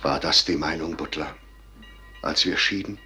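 An actor playing a grave, noble elder general with solemn, weighty declamation in a 1950s German radio drama. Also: male voice, rumbling low, moderate pace, pushed and bright, soft background music, heavily acted. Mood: solemn horror.

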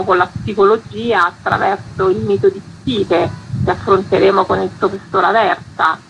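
A woman's voice: medium pitch, vocal fry, a bit bright, a little hiss or hum, rough recording. An articulate woman, a curator explaining a cultural programme in a radio interview. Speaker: festival curator being interviewed.

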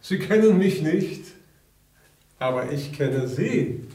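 A man's voice: fairly high pitch, lively intonation, takes it slowly, mellow. An actor playing a smug, insinuating male Tsarist police chief in a German radio play, condescending and theatrical, in an echoey room. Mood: insinuating, superior.

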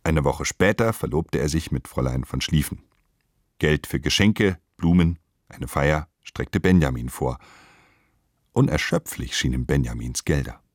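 Guy w really deep voice narrating a radio play, clipped statements, super dry recording. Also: expressive, a little slow, no echo.

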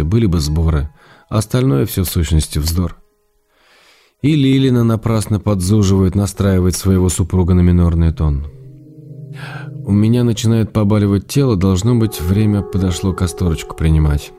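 An actor playing an affectionate, self-restrained husband reading his letters in a radio play, in a measured, wry manner. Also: sits low, warm tone, natural voice.

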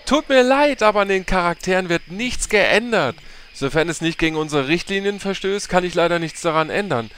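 Guy doing a super polite support game master, voice bouncing around, bit high, comedy radio play. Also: expressive, fairly quick, a bit bright, a little hiss or hum. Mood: officious. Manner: scripted, formal, singsong politeness. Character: polite, officious male game master, customer-service type. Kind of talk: parody audio drama.

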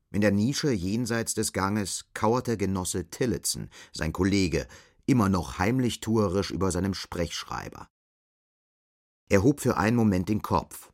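A man's voice: low voice, full character voice. A man narrating a literary radio drama from a script.

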